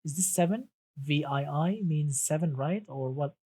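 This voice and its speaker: A man's voice: fairly high pitch, normal speed, a little mellow, breathy edge. A man whose first language is Arabic, chatting casually on a live stream about cars and reading out viewer comments, with many restarts and a friendly "my friend.".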